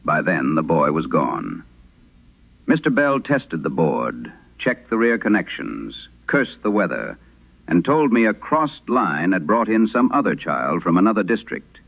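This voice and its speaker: A man narrating a scripted vintage radio horror tale in the first person, in a grave, measured, gravelly voice. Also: low voice, very animated. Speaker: narrator of a horror audio drama. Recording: muffled, band-limited vintage radio sound.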